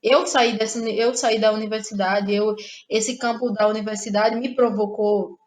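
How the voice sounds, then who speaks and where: A woman's voice: medium pitch, fairly even intonation, moderate pace. A young woman from Ceará with a Northeastern Brazilian accent, a guest playwright in a podcast interview, thinking aloud with restarts and frequent tag questions.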